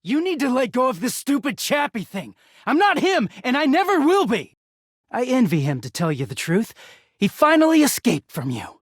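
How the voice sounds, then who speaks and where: A bitter, sardonic young guy in a video game, a living pile of dirty laundry. His delivery swings widely between muttering and exasperation, and the sound is dry and a little husky. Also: very high-pitched, no room sound, heavily acted. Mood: exasperated, angry. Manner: sardonic, foul-mouthed, swinging from muttering to shouting.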